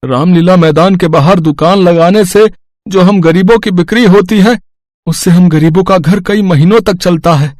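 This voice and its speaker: Man acting a high-voiced meek village toy seller. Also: moderate pace, a little mellow, dry recording, clean studio sound, natural voice. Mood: earnest. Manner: meek, apologetic.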